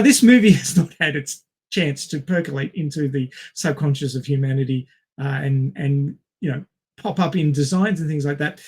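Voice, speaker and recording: Higher-voiced man explaining in halting bursts. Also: breathy edge. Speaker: podcast co-host. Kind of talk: casual film discussion.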